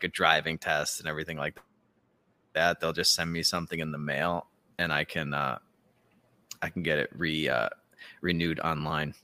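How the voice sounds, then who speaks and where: A man's voice: a bass voice, bright, forceful tone. A man co-hosting a podcast in casual chat, with a sardonic, sweary way of talking. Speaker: podcast co-host.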